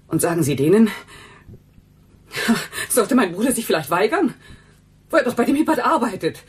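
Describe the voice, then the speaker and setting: A woman's voice: mid-range voice, a little mellow, breathy edge. A woman playing a defensive, agitated housekeeper in a radio crime drama, speaking in insistent, broken sentences. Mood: agitated, accusing. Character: defensive, agitated housekeeper protective of her brother. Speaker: actress in a crime radio play. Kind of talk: German radio drama acting.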